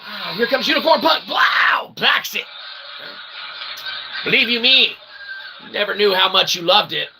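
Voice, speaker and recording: Forceful, bright-voiced man rambling on. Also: high-pitched, lively intonation, a little slow, pauses often, faint music behind, low-quality recording. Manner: wordy pseudo-technical talk with repeated tag questions. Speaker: channel host. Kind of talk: rambling promotional monologue.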